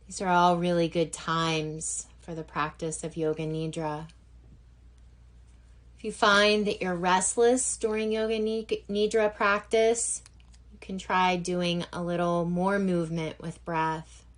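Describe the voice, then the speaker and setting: A woman guiding a yoga nidra relaxation session as instructor, gentle and unhurried, leaving long pauses between phrases. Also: lowish voice, flat delivery. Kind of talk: guided meditation instruction.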